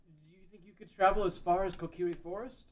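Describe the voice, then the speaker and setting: A man's voice: high voice, fairly even intonation, fast, close to an everyday voice. Sage mentor , male reader: grave, cautious, counseling, fantasy drama table read. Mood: solemn.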